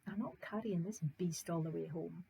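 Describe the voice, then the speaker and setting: A woman's voice: fairly low pitch, moderate pace, gravelly, mellow, slightly rough, pitched below the performer's own voice, exaggerated voice. A woman voicing a simple, naive young man in a told folk tale who speaks in a plain, guileless way.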